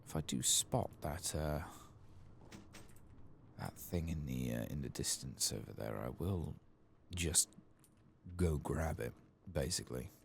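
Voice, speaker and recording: A soft-spoken man giving ASMR commentary on a video game, hushed and often slipping into whispers. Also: a bass voice, animated, a little slow, creaky, slight background noise.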